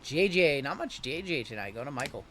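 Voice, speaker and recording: Bright-voiced man hosting a card break. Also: mid-range voice, very animated, fairly quick, a little hiss or hum. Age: 30s. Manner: chatty, rattles off player names and chats with viewers. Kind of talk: live card break stream patter.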